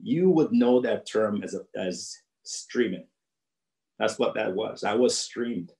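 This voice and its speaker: Jamaican guy speaking on a video call from his home office, fairly low voice, repeats lines for emphasis, roomy sound. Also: a little mellow. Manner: earnest storytelling, repeats phrases for emphasis. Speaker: guest speaker. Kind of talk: personal story told to young people.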